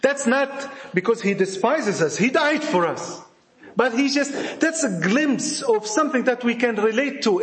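A man's voice: very high-pitched, fairly bright tone. A man with an Egyptian accent preaching a sermon to a congregation, emphatic and repetitive, putting rhetorical questions to his listeners. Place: church hall with a congregation. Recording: echoey room.

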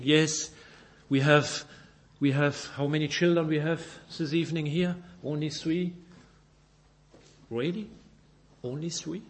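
A Belgian man whose first language is German preaches in halting English, with a slightly raised voice. He reads scripture slowly and deliberately, repeating and correcting words as he goes. His speech carries an unhurried, measured pulpit rhythm. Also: fairly high pitch. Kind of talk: sermon with scripture reading.

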